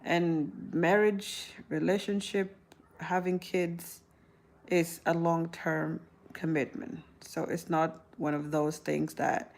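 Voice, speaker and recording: A woman's voice: fairly low pitch, little pitch movement, slow pace, a little mellow. A woman in her thirties from South Sudan, chatting casually to her viewers with frequent laughs and restarts. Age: 30s. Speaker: vlogger answering viewer questions. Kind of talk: casual talk to camera.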